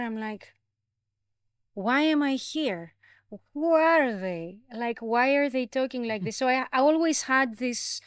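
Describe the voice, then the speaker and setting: A woman from Greece with a Greek accent, speaking reflectively as an interview guest, in a contemplative, gently halting way. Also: on the high side, no echo, clean studio sound. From Greece, grew up in Athens. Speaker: podcast guest.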